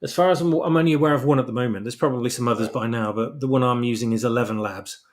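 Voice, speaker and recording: Man explaining haltingly, medium-pitched voice. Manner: halting, repeats words while explaining. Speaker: guest author. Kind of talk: casual interview discussion.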